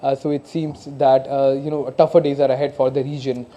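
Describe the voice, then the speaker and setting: Dark-voiced, monotone Indian male correspondent, muffled feed. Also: mid-range voice. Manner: halting, hesitant delivery with frequent repeated words. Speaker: news correspondent. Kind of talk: live broadcast analysis.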